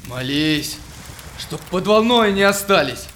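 An actor playing an anxious, storm-battered Cossack seafarer, speaking theatrical rhymed verse in an audio play. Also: male voice, high-pitched, lively intonation, slow pace, fairly bright tone, slight background noise, heavily acted. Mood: fearful relief.

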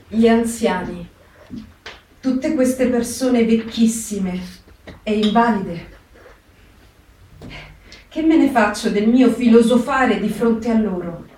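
Reflective young woman diarist, slow solemn delivery, highish voice, staged reading, distant in a cavernous echo. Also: a little mellow, a little hiss or hum. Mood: grave, questioning. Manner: measured, deliberate phrasing with dramatic pauses. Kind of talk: solemn theatrical reading of diary and letters. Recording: very echoey hall, distant microphone.

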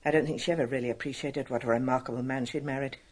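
A woman playing a brisk, reserved, frank spinster assistant in a radio drama, with an English accent and a husky, matter-of-fact delivery. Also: very deep voice, fairly even intonation, a little mellow. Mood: frank, resentful.

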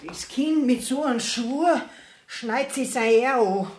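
A woman's voice: fairly high pitch, animated, takes it slowly, a little mellow. A middle-aged woman playing an indignant, stubborn village widow in a dialect radio play, scolding emphatically with a Bavarian accent. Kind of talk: dialect radio drama acting.

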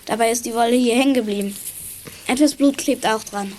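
A woman playing a confident, brisk young kid detective in a children's audio drama. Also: a little high, a little mellow, slight background noise, lightly acted. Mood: focused. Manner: brisk, matter-of-fact, assured. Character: confident young detective kid, eager tracker, chief sleuth.

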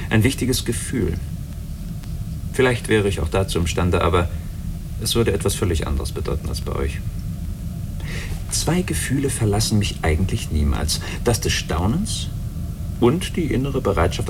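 A coolly logical android, animated pitch. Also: male voice, background noise. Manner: articulate, lecturing, self-assured. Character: human-looking male android, coolly logical, detached, faintly mocking. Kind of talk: German radio drama acting.

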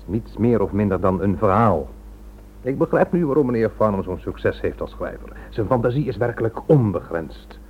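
A man's voice: on the low side, expressive, normal speed, slight background noise, close to an everyday voice. A man playing a self-assured, sardonic and slippery police inspector in a radio drama, formal in manner. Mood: dismissive. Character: smooth-talking, condescending, slippery male police inspector. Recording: muffled old radio recording.

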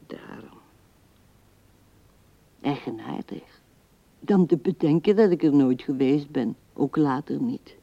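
An elderly widow muses tenderly to her late husband in a radio play. She speaks very slowly and haltingly, with a gravelly creak, often sinking into a murmur. The 1970s recording is dull. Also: deep voice, dark tone, dry recording, full character voice. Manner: halting, musing, drifting into murmurs. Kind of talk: radio drama monologue.

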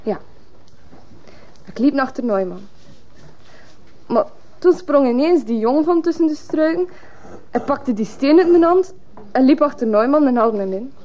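A woman's voice: sits high, expressive, a little slow, long pauses, dark tone, highs cut off. An actress playing a stubborn, blunt teenage runaway girl with a Flemish accent, testifying in a radio drama. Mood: agitated, insistent.

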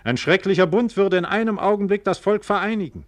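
A man's voice: sits high, fairly quick, dry recording, lightly acted. An actor playing a proud, trusting, impassioned nobleman in a classical German radio drama, with emphatic, rhetorical delivery. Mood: indignant, confident.

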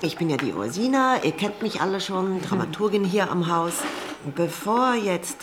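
A woman's voice: lowish voice, lively intonation, moderate pace, background noise, close to an everyday voice. An actress playing a theatre dramaturge who addresses her colleagues in an informal, welcoming way. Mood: friendly, welcoming.

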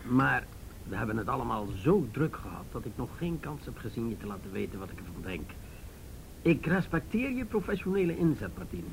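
A man's voice: mid-range voice, sing-song, brisk, gravelly, poor audio. An actor playing an older, jovial and patronising male police chief in a radio drama, hesitant and avuncular. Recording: radio drama with faint background noise.

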